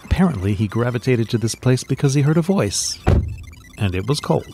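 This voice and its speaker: A man playing a gruff, world-weary veteran field agent with a dry, blunt, sardonic way of talking. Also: medium pitch, expressive, fairly quick, background noise, natural voice. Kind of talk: audio drama acting.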